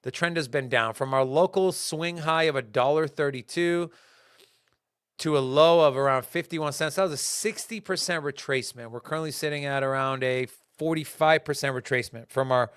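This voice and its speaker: Animated young man talking crypto markets. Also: fairly high pitch, normal speed, smooth, dry recording, clean studio sound. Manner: animated, talks in bursts with fillers and restarts. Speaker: crypto market show host. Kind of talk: casual market commentary to a live chat.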